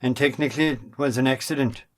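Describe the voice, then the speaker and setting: A man's voice: mid-range voice, quick pace, lightly acted. A man playing a mild, forgiving brother in an amateur drama. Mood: mild reproach. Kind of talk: amateur stage drama acting.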